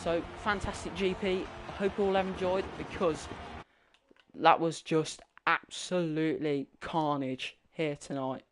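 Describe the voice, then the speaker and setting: A man commentating a sim racing league with excitement, his voice strained and fading. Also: measured pace, slight background noise. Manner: excitable, voice climbing high at big moments. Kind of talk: excited live race commentary. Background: music.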